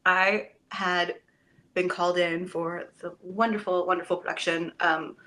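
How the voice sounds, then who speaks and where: A woman, a Broadway actress, chatting as a podcast guest, telling stories with lively enthusiasm and the odd casual swear word. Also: fairly low pitch, a little slow. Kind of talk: casual podcast interview chat.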